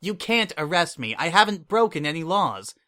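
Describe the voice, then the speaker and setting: A man's voice: on the high side, very animated, normal speed, voice pushed up. Man voicing a defiant young unicorn mare. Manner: defiant, raised and sharp. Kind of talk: fan dramatic audiobook reading.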